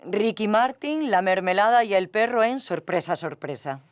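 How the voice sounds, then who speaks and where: A woman's voice: mid-range voice, expressive, moderate pace, natural voice. A woman playing a composed academic psychologist, explaining articulately and patiently in a scripted expert interview. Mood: didactic, composed.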